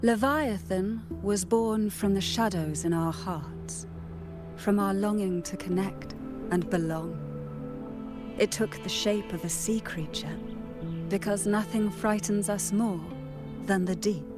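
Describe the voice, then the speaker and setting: A woman playing a solemn, century-old matriarch in a video game, speaking in grave, measured storytelling. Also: mid-range voice, over music, natural voice. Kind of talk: dramatic video game voice acting.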